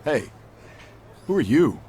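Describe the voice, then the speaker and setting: A man's voice: fairly high pitch, big pitch swings, takes it slowly, mellow, husky, full character voice. A man voicing a boastful, self-important star wrestler in a video game cutscene, pompous and indignant. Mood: arrogant, indignant.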